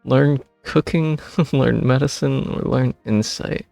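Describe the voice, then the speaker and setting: Gameplay streamer, heavy vocal fry, husky, offhand casual commentary, dry close mic, game music behind. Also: mid-range voice, takes it slowly, a little mellow, dry recording. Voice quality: gravelly, husky. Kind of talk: casual gameplay commentary, reading choices aloud. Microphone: close microphone over game audio.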